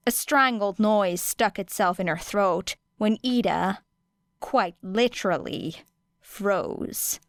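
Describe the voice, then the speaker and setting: Unhurried woman narrating fiction, dry sound. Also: medium pitch, fairly bright tone, no echo. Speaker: audiobook narrator. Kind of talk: expressive scripted fiction narration.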